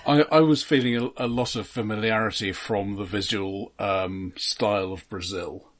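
A man co-hosting a film discussion, giving background in a measured, factual, halting way. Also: lowish voice, steady tone. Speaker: film podcast co-host.

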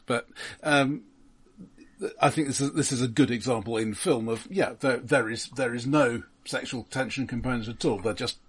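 A man co-hosting a podcast, discussing a film in a thoughtful, measured way with a few stammered restarts. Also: medium pitch, slightly rough. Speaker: podcast co-host.

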